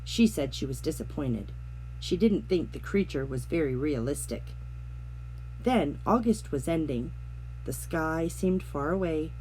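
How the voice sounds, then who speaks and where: A woman reading a children's picture book aloud, narrating with gentle, expressive storytelling. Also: sits low, a little slow, a little hiss or hum, low-quality recording. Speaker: storybook reader.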